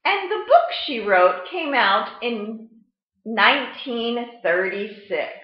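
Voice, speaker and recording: A middle-aged American woman presenting a storytime lesson for children, explaining in a deliberate, animated way. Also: a little high. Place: indoor room at home, seated at a table. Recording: muffled webcam sound in echoey room.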